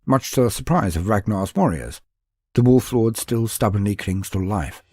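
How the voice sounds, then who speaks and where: A man's voice: fairly low pitch, lively intonation, moderate pace, darkish tone, breathy edge, no echo, clean studio sound. A man reading scripted fantasy lore as a narrator, in a theatrical, formal storytelling style.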